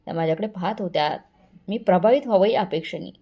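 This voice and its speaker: A woman's voice: medium pitch, fast talker, breathy edge, lo-fi sound. A woman storyteller reading a scripted first-person family story.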